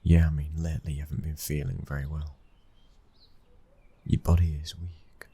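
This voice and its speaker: Casting: male actor as devoted young British mafia son, very low husky voice, tender and protective, ASMR boyfriend roleplay. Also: level tone, normal speed, heavily acted. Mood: affectionate, relaxed. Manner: soft, breathy, often near-whispered, tender.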